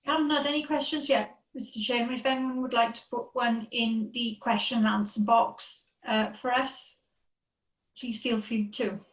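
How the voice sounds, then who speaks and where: A woman's voice: a little high, steady tone, moderate pace. A woman speaks politely and formally as the moderator of an online meeting. Her voice stays level. She sounds set back from the mic, in an echoing room. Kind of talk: moderating questions on a call. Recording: roomy, distant call audio.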